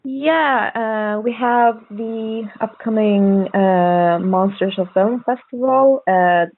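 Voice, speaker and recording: A woman speaking as a podcast guest co-host, chatting casually about horror films in hesitant, halting phrases. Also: mid-range voice. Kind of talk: casual horror film chat. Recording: narrow phone-like call audio, dry.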